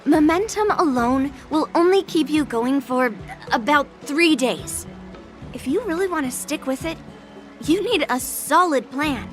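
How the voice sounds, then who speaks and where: A woman's voice: high-pitched, lively intonation, unhurried, over music. An actress playing a blunt, proud young female sword master in an anime-style video game, frank and no-nonsense. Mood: stern.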